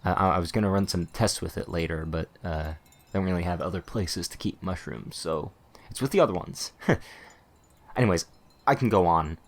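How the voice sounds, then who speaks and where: A man's voice: deep voice, moderate pace. A man playing a talkative, easily flustered male witch shopkeeper in an ASMR roleplay, rambling and stammering as he eagerly explains things. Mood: enthusiastic, rambling.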